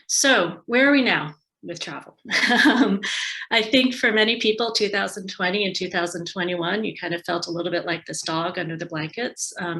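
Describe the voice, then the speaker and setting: A woman's voice: fairly low pitch, pushed and bright, poor audio. An American woman giving a friendly, conversational online presentation over a video call, with frequent ums and small restarts. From United States. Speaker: tourism marketing advisor presenting a webinar. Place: indoors at home base in a city.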